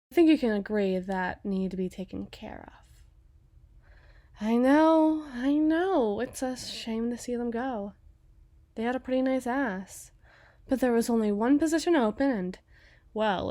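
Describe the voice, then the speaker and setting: A woman's voice: mid-range voice, mellow, natural voice. A woman playing a menacing, mockingly sweet female mob boss in a dramatic ASMR roleplay, taunting and drawing out her words for emphasis. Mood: smooth, teasing.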